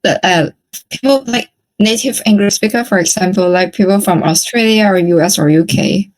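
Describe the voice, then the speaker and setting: A woman's voice: mid-range voice, moderate pace, rough recording. A woman from Hong Kong with a Hong Kong accent and Cantonese as her native language, chatting casually and politely in English practice, with some hesitation. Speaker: English conversation practice participant. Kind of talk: casual chat.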